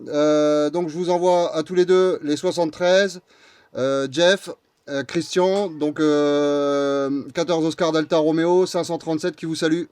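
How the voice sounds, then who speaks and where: Slightly high-voiced man on two-way radio. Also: fairly even intonation, measured pace, a little mellow, clean tone, dry recording. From northeast France. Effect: two-way radio transmission. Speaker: amateur radio operator. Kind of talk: casual radio contact with signal reports.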